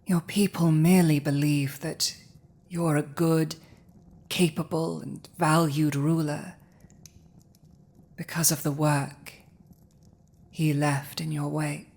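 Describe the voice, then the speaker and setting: A woman voicing a commanding villainous female dragon in a dramatic audio roleplay, speaking deliberately with weighty pauses. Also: sits low, little pitch movement, vocal fry, a little mellow, heavily acted. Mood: sympathetic, subdued. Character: villainous commanding female dragon, intense yet softening to compassion.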